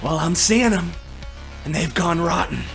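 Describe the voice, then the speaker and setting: A man voicing a cocky, sharp-tongued fighter with a mocking, theatrical delivery in a tabletop game. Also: on the high side, lively intonation, unhurried, creaky, soft background music, heavily acted. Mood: scornful taunting.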